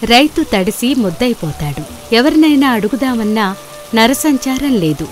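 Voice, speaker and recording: Lively high-voiced woman storyteller. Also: measured pace, a little hiss or hum. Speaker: narrator. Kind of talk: scripted folktale narration. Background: background music.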